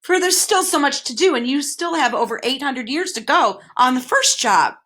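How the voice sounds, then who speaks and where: A woman's voice: high voice, expressive, normal speed, bright, forceful tone, voice pushed up. Woman putting on a sly, soothing demon man. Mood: menacing coaxing. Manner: soothing, deceitful, sour. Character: sly sour male demon of petty tasks.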